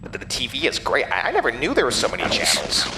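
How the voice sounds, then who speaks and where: A man's voice: mid-range voice, huge pitch swings, fast, background noise. A man voicing an anxious, stammering child who rambles, in a horror audio drama. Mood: anxious, rambling.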